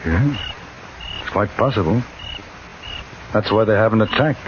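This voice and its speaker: An actor with an English accent playing a dry-witted, calmly composed English adventurer in the Old West, in a 1950s radio drama. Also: male voice, on the low side, unhurried, background noise. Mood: calm, resolute. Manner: clipped, understated, calmly composed. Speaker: lead character and narrator. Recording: muffled old radio broadcast.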